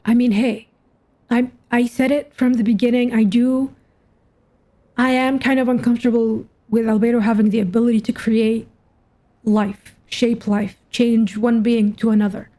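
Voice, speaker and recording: Mellow, higher-voiced woman gamer. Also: level tone, normal speed. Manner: informal, musing aloud. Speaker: gaming content creator. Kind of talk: casual playthrough reaction commentary.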